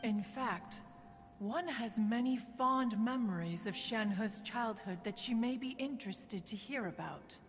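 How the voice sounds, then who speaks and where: A woman's voice: on the high side, a little slow, in a large hall, faint music behind. An actress playing a proud, aloof ancient female immortal in a video game, speaking in stiff, archaic formality with a motherly streak. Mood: fond.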